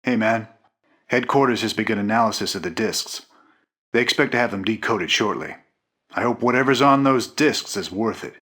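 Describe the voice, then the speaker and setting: An actor playing a rugged, easygoing rebel captain in a video game, speaking informally and plainly. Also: male voice, fairly low pitch, normal speed, sharp tone, breathy edge. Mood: casual, guarded. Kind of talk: video game mission briefing.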